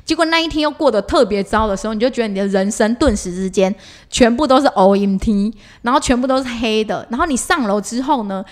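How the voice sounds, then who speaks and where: A woman's voice: on the high side, fast talker. A middle-aged woman with a Taiwanese accent chatting casually and expressively as a podcast co-host, recounting everyday life like a story.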